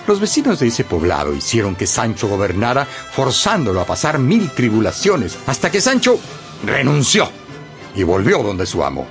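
A man narrating a scripted story for radio as an expressive storyteller. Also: mid-range voice, normal speed, music in the background.

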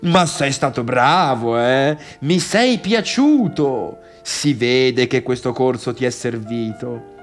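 A man's voice: mid-range voice, animated, slow pace, few pauses, soft background music. An actor playing an effusive, patronising middle-aged father in a radio play, with a teasing, exclamatory drawl. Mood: patronising, curious. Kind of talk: theatrical radio drama dialogue.

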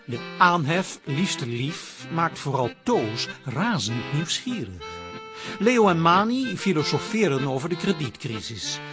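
A radio drama announcer reads a scripted teaser slowly, with a very lively melody. Accordion music plays clearly underneath the measured storytelling voice. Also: medium pitch. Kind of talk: scripted episode introduction.

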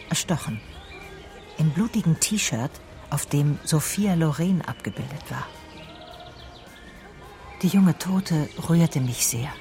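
An actress playing a curious, persistent private detective in a German radio crime drama. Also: female voice, low voice, darkish tone, full character voice. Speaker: narrator and lead actress. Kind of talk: German radio crime drama, first-person narration and dialogue.

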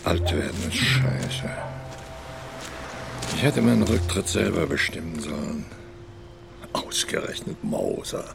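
Gravelly, bitter old opera star. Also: male voice, animated, noisy background, exaggerated voice. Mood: bitter, resigned. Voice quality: gravelly, low. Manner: muttering, brooding monologue with long pauses. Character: bitter old opera star in his eighties, self-pitying. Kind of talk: audio drama acting.

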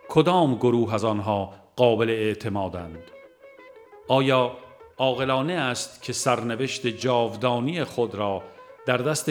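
Measured male audiobook narrator over plucked-string music. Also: medium pitch. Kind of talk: scripted religious audiobook narration.

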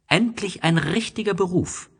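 A man's voice: sits high, normal speed, gravelly, a bit bright, breathy edge, voice pushed up, heavily acted. A performer voicing a small, innocent boy prince in a storybook audio drama, earnest and full of wondering questions. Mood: admiring.

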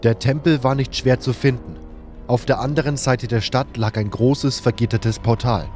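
A man with a mid-pitched voice reads scripted narration for a fantasy audio drama. He tells it in the first person as a storyteller, with soft music underneath. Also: fairly even intonation, normal speed. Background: faint music.

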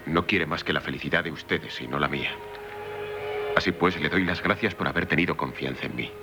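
Very deep-voiced, courteous gentleman. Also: creaky, music in the background. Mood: gallant. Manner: formal, measured, gallant. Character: courteous, devoted gentleman secretly in love with a married woman. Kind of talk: 1970s Spanish radio drama acting.

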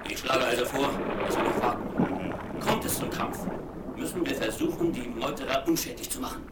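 An actor playing a stern, capable ship's captain who gives clipped, commanding orders. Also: male voice, medium pitch, moderate pace, close to an everyday voice. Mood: firm. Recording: distant, roomy and very hissy.

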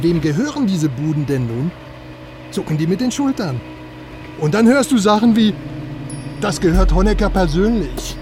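An actor playing an excitable, talkative informant who chats eagerly during a car ride. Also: male voice, fairly high pitch, lightly acted. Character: excitable male informant, chatty car fan. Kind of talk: radio drama acting. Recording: music playing behind.

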